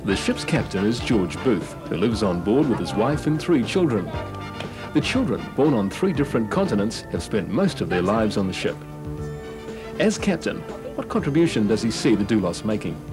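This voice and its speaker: A man reading scripted documentary narration in a smooth, measured way. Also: on the low side, big pitch swings. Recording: voice-over with music behind.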